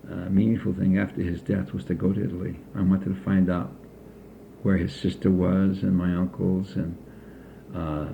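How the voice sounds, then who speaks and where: Older male interviewee, gravelly low voice, unhurried reminiscing, tinny lo-fi recording. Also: flat delivery, a little hiss or hum. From United States. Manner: slow, measured recollection with frequent pauses. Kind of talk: oral history interview.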